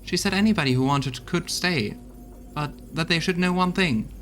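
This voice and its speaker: A man's voice: on the high side, moderate pace, a bit bright, soft background music, natural voice. A man voicing a loyal, weary female army officer in a video game, talking in an earnest, folksy way. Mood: candid, confiding. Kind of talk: voiced video game dialogue.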